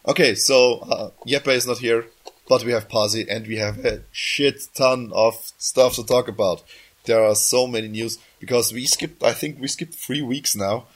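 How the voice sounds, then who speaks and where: A man's voice: medium pitch, a little slow, husky. A man hosting a casual podcast while sick, coughing now and then, his voice just back, rambling and often correcting himself mid-sentence. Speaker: podcast host.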